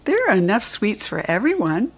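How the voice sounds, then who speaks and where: A woman's voice: mid-range voice, very animated, moderate pace, breathy edge. An earnest young schoolgirl asks and wonders aloud in a children's storybook, as a woman reads her lines. She sounds kind and curious.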